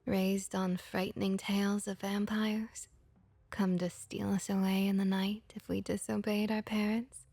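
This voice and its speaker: A woman playing a friendly, comforting vampire innkeeper in a soft-spoken ASMR roleplay, gentle, reassuring and often whispery. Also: mid-range voice, flat delivery, unhurried, creaky, no echo. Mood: soothing, reassuring.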